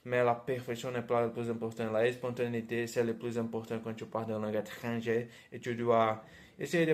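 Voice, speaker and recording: A man speaking with a Portuguese accent, as a native Portuguese speaker, talks in a steady, mid-pitched voice with little rise or fall. He sounds like a teacher addressing viewers directly with encouragement. His speech is full of hesitations, fillers and self-corrections. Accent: Portuguese-speaking, Brazilian. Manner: hesitant, many "euh" fillers and restarts. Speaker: language teacher. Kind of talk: casual vlog advice to learners.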